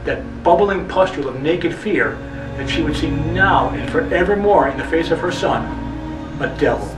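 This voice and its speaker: A man delivers a brooding, theatrical literary monologue as a storyteller, with deliberate, repetitive phrasing. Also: on the high side. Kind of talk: dramatic literary monologue narration. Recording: echoey, distant mic, music underneath. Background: music.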